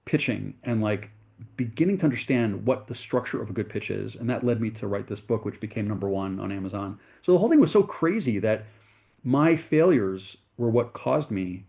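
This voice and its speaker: A middle-aged man from the United States speaks as an interview guest, with a dark, mellow tone and a gravelly texture. He tells his story earnestly and at length, often correcting himself partway through a sentence. His voice sits fairly low. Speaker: venture capitalist guest.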